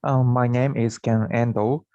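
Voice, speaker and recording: A man's voice: medium pitch, steady tone, a little slow, mellow, no room sound. A man in his late twenties with a Japanese accent, introducing himself plainly on a panel. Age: 20s. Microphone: video call. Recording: dry, muffled video call audio.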